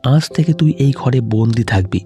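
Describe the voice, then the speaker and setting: A man's voice: mid-range voice, lively intonation, moderate pace, mellow, faint music behind, natural voice. A man voicing a greedy, angry husband with a boastful, threatening manner in an audio story.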